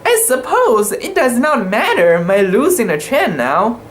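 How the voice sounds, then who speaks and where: A narrator voicing a kindly, cheerful old captain's wife in a gentle, earnest, motherly manner. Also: mid-range voice, sing-song, measured pace, a little hiss or hum, voice pushed up.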